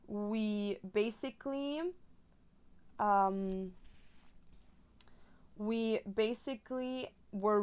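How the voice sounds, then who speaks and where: A woman's voice: medium pitch, clear, resonant tone. A young woman from Germany, a native Spanish speaker, chatting casually and rambling with lots of fillers while she crafts on stream.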